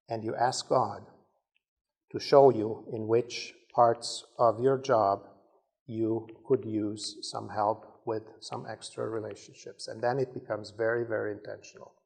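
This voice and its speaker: Male speaker, mid-pitched and gravelly, giving an informal leadership talk with frequent restarts. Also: a little mellow. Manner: conversational, stumbles and repeats words, acts out sample dialogue. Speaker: conference speaker.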